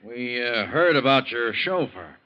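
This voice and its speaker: Blunt police captain, muffled sound. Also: male voice, mid-range voice, big pitch swings, a little slow. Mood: casual, probing. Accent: American. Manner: matter-of-fact, blunt. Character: dry, unhurried, persistent male police captain. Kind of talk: old-time radio drama acting. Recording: muffled old radio drama recording.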